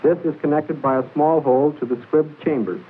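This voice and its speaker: Guy doing 40s army instructional film voiceover, measured and exact, slightly high voice, faint hiss on old recording. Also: steady tone, narrow, tinny audio. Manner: measured, precise, formal explaining. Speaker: training film narrator. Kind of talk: 1940s military training film narration, scripted and instructional. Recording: hissy band-limited 1940s film soundtrack.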